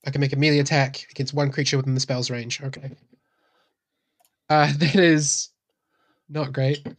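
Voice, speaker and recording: A woman's voice: rumbling low, flat delivery, normal speed. Dry, joking New woman playing a tabletop game. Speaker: tabletop RPG player. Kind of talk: casual improvised game chat.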